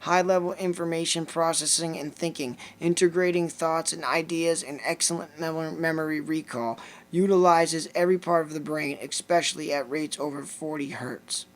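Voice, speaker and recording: A man's voice: on the high side, moderate pace. A man with a Lithuanian accent, co-hosting a casual podcast chat and reading passages from a book aloud. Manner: reads passages aloud in a steady list-like way. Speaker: podcast co-host.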